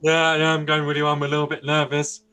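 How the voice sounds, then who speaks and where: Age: 20s. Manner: earnest, halting, flat. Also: male voice, on the high side, clean tone. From Austria. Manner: earnest, halting, frequent restarts and searching for words. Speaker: interview guest. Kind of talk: casual interview answers. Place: indoors, seated interview.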